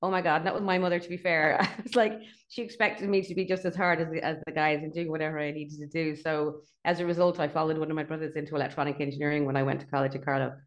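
Irish woman, middle-aged, deep voice, rapid and chatty interview guest. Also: flat delivery. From Ireland (Dublin). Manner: chatty, fast, laughs between points. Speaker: guest, company CEO. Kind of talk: casual interview chat.

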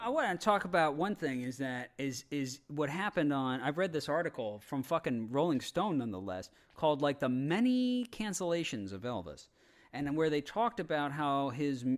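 A man in casual, opinionated podcast talk, halting and rambling, with frequent swearing. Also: a little high. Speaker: podcast panelist.

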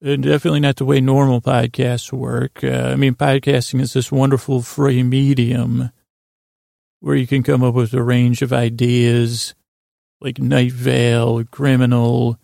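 A man hosting a bedtime podcast in a rambling monologue, halting and trailing off mid-thought, with a gravelly, creaky voice. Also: mid-range voice, level tone, warm tone, no room sound, studio quality. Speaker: podcast host.

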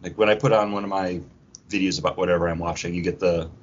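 A man with a husky voice co-hosting a podcast in loose, casual chat. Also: sits low, fairly even intonation, fast, highs cut off. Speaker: podcast co-host.

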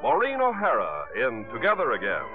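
A man's voice: medium pitch, huge pitch swings, fairly quick, narrow, tinny audio. A man announcing a 1950s radio show in a grand, declamatory style. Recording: old radio recording, thin sound, loud music. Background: loud music.

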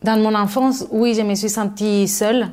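A woman's voice: medium pitch, fairly even intonation, normal speed, a little mellow. A middle-aged woman from Mexico, answering interview questions thoughtfully with many fillers and restarts. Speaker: guest.

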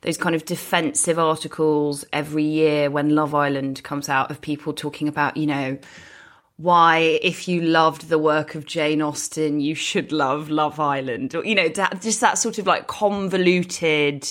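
A woman's voice: deep voice, steady tone, measured pace, fairly bright tone. A woman co-hosting a podcast in casual chat, musing aloud and repeating phrases for emphasis. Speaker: podcast co-host.

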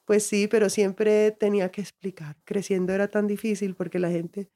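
A woman's voice: mid-range voice, little pitch movement, moderate pace, mellow. A middle-aged woman, raised in the United States, reflectively telling her life story as an interview guest, restarting phrases often. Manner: reflective, frequent restarts and laughs.

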